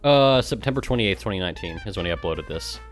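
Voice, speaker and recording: Male streamer, low register, dry sarcasm and exasperation, casual sweary commentary over faint music. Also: animated, fast. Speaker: streamer and gaming guild member. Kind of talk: live stream reading aloud with sarcastic commentary.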